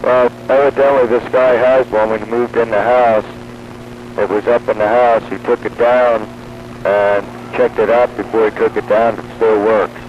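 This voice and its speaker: A man's voice: medium pitch, flat delivery, normal speed. A man in casual hobbyist chat, heard over a two-way radio transmission. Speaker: radio hobbyist on a CB round-table. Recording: muffled CB radio with clear noise.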